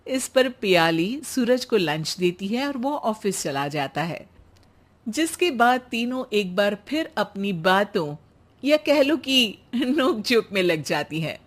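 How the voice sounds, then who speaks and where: A woman narrating a bedtime cartoon story in a scripted, expressive way. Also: medium pitch, takes it slowly.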